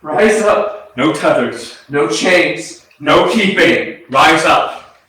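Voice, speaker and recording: A man's voice: on the high side, animated, extremely slow, sharp tone, exaggerated voice. A man in a staged play reading as the ghost of a brother who died young, speaking earnest, poetic monologue in a grieving, tender way. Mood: impassioned, rousing. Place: small arts centre stage, recorded from a distance. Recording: echoey theatre hall, distant mic, faint noise.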